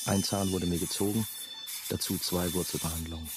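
Actor as a very deep-voiced brooding narrator. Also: male voice, fairly even intonation, background noise, natural voice. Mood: brooding, confiding. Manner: measured, confiding, terse. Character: brooding young former novice, haunted and obsessive. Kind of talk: first-person radio drama monologue.

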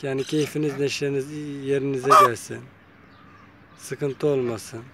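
A man's voice: mid-range voice, fairly even intonation, measured pace, noisy background. A man talking casually to his viewers while filming his chickens in an outdoor yard on a phone camera, chatty and coaxing. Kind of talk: casual vlog talk to viewers.

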